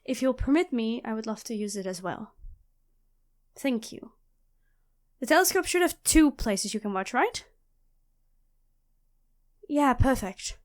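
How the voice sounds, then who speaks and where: A woman playing a nerdy, chatty young student in an audio roleplay, expressive and flustered, often apologizing and correcting herself. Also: fairly high pitch, unhurried, pauses often. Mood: amazed, eager. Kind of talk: audio roleplay acting addressed to the listener.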